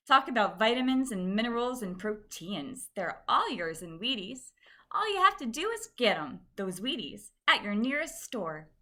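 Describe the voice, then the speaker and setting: A woman playing a peppy 1950s radio cereal pitchwoman, cheery and folksy in her salesmanship. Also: bright, forceful tone.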